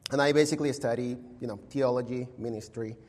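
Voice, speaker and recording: A man's voice: mid-range voice, fairly even intonation, fast, a little mellow. A young man from Venezuela with a Venezuelan Spanish accent, preaching a sermon in an informal, friendly way, often tagging lines with "right?". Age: young adult. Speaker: guest preacher. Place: church sanctuary. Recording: church hall reverb.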